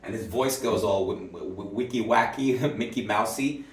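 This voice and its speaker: Low-voiced man, native Swedish speaker, rambling musician chat, slightly off-mic in an echoey room. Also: moderate pace, far from the mic. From Finland. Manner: rambling, swears casually, laughs at himself. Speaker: musician and video creator. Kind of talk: casual commentary on old recordings.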